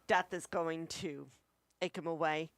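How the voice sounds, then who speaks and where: A woman's voice: low voice, fairly even intonation, unhurried, dry recording. A woman giving her opinions as a co-host in a casual review chat.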